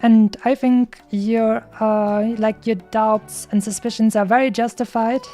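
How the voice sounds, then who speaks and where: A woman's voice: fairly high pitch, fairly even intonation, measured pace. A woman game streamer giving casual, enthusiastic commentary in a rambling, hesitant way. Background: soft game music.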